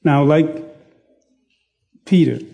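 Male preacher, warm and dark in tone, deliberate and self-deprecating. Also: a little high, creaky. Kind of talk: sermon. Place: church sanctuary.

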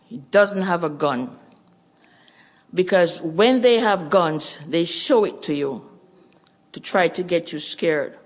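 An older Jamaican woman with a Jamaican accent, a missionary giving an earnest church missions report and testimony. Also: on the low side.

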